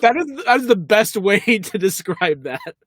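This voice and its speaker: A man co-hosts a casual podcast, talking through a TV episode. His voice sits a little high, and he animates his retelling with quick character voices. The sound is dry and close. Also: sing-song, a bit bright, no room sound. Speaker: podcast co-host. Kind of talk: casual chat about a TV episode.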